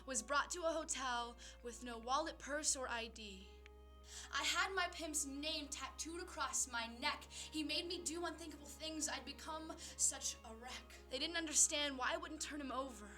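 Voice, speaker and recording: Female spoken word poet, high-pitched and bright, earnest dramatic rhythmic delivery, music underneath. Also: normal speed. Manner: earnest, dramatic, rhythmic rhymed delivery with emphatic swells and hushed drops. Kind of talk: slam poetry performance.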